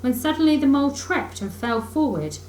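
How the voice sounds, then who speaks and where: A woman reads a children's story in an expressive narrating style. Her voice is fairly high and very animated. The room is echoey, with faint noise in the recording. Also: normal speed. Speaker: teacher reading aloud. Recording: roomy echo, faint background noise.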